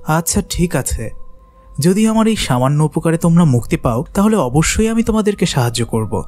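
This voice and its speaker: A man playing a humble, timid and honest poor farmer with earnest, dramatic delivery in an animated folk tale. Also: fairly high pitch, fairly quick, faint music behind. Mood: earnest, willing.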